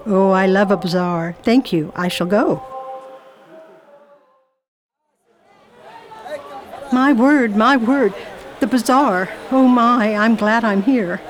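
A woman's voice: mid-range voice, a little slow, some chatter behind, lightly acted. Genteel, curious lady traveller acted by a woman. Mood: bright, polite. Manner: polite, inquisitive, exclamatory. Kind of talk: radio drama acting and narration. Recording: faint background noise, ambience.

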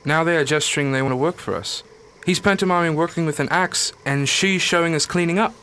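An actor playing a sardonic, reasoning scientist with a dry, didactic manner in a radio drama. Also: male voice, fairly high pitch, fairly quick, sharp tone. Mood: brisk, informative. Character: reasoning, sardonic male scientist defending alien customs. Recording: clearly noisy recording.

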